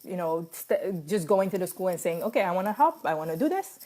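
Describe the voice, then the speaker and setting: A woman's voice: fairly low pitch, sing-song, fairly quick, darkish tone. A woman in her thirties whose first language is French, giving a casual motivational talk full of fillers, restarts and laughs. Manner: chatty, many fillers and restarts, laughs often. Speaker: speaker sharing personal advice.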